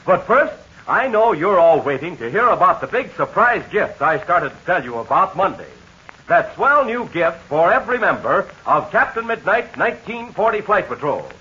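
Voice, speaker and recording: A man announcing a 1940s radio serial, making the sponsor's pitch to young listeners in an eager, breathless kid-show sales style, heard on a dull lo-fi old radio transcription. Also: big pitch swings, normal speed, pushed and bright, breathy edge.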